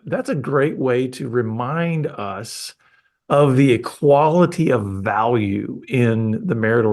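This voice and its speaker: A man hosting a podcast, chatting with his guest in an enthusiastic, rambling way with frequent restarts. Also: medium pitch. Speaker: podcast host. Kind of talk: casual interview chat.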